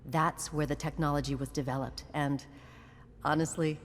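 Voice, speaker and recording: An actress playing a wise, dry-witted scholar in a video game, speaking in a measured, thoughtful way. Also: female voice, low voice, fairly even intonation, close to an everyday voice. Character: wise scholarly woman, composed, dry-witted old friend. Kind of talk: video game character acting. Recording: clear background ambient noise.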